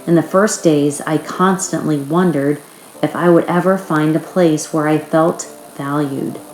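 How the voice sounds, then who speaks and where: A woman reading a personal written story aloud in a measured narrating style. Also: sits low, fairly even intonation, darkish tone, a little hiss or hum. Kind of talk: reading a first-person author's note aloud. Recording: roomy echo, faint music behind.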